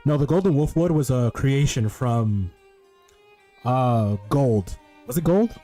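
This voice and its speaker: A man's voice: mid-range voice, lively intonation, slow, warm tone, faint music behind. A man streaming a video game with casual commentary, rambling and swearing as he thinks aloud.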